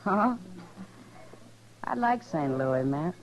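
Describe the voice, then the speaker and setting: A woman's voice: low voice, animated, extremely slow, exaggerated voice. An actress playing a wry, world-weary, sympathetic saloon woman in a 1950s western radio drama. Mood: sympathetic, wistful. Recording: muffled vintage radio recording.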